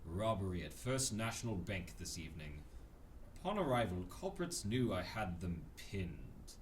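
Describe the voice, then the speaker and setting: Guy doing a moody superhero vigilante, low voice, super melodramatic swoops, radio play, bit far from the mic. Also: very animated, moderate pace, slight background noise. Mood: brooding, grandiose. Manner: clipped, melodramatic, pun-loving. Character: brooding, theatrical male masked vigilante crime fighter. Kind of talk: radio drama monologue and dialogue.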